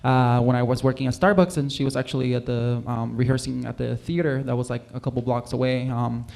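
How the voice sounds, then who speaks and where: A man's voice: mid-range voice, fairly even intonation, brisk, creaky, a little mellow. A young man from Puerto Rico, a musical guest talking casually in an interview, rambling with many ums and likes. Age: young adult.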